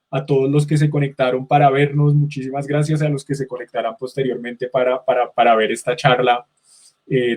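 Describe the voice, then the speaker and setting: A man's voice: medium pitch, a little mellow. A man moderating an online conference, asking questions and giving formal thanks, sometimes stumbling over his words.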